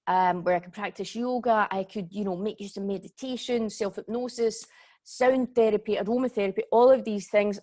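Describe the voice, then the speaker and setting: A Glaswegian woman in her forties talks through an online workshop from home over her computer mic. She is chatty and informal, often pausing to check in with her listeners. Her voice sits at an ordinary middle pitch. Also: a little mellow. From Scotland. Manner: chatty, keeps checking in with "okay?" and "guys". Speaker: yoga teacher and workshop host. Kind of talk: live online workshop talk. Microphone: computer mic on a video call.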